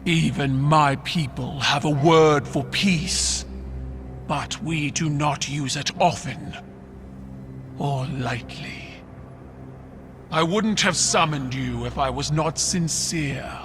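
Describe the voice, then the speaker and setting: An actor playing a proud, imperious alien warrior empress in a video game cinematic, speaking in a regal, measured and forceful way. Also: mid-range voice, close to an everyday voice. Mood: solemn, guarded. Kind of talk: dramatic game cinematic acting. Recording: prominent cinematic music behind voice. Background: music.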